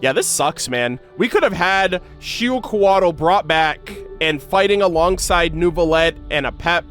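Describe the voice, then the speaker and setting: A man's voice: a little high, lively intonation, unhurried, a bit bright. A man hosting game lore commentary as a streamer, speaking in an enthusiastic, conversational manner. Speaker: content creator hosting a game lore reaction. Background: background music.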